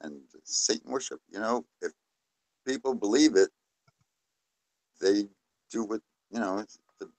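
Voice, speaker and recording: Low-voiced rambling New man. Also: creaky, darkish tone, dry recording, low-quality recording. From New York City, USA. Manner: rambling, halting with many fillers. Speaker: guest. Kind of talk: casual live-stream discussion.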